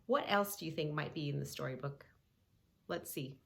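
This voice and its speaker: A woman's voice: sits low, moderate pace, gravelly. A woman reading a story aloud and guiding preschoolers through yoga poses, speaking simply and encouragingly, straight to the child. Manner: simple, encouraging, addressing young children directly. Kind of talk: read-along story with yoga instruction for preschoolers.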